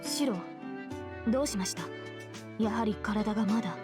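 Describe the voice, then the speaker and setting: A Japanese woman voicing a calm, dignified young female knight in a visual novel, formal and polite, with music behind. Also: medium pitch, quick pace, natural voice. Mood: concerned. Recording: prominent game music behind.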